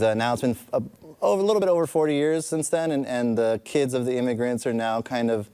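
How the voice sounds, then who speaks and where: A man's voice: medium pitch, mellow, clean tone. A young man from the Bay Area, a filmmaker speaking as a guest on a live panel in an easygoing, enthusiastic, loosely worded way. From San Francisco Bay Area.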